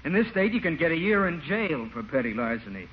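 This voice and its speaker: An American actor playing a deadpan, unbudging police sergeant in a classic radio comedy, speaking in a matter-of-fact official way. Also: male voice, on the high side, brisk. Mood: firm. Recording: muffled, hissy old radio broadcast.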